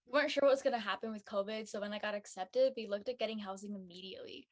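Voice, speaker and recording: A woman's voice: mid-range voice, racing, close to an everyday voice. A woman playing a practical, slightly wistful college-bound young woman in casual scripted dialogue.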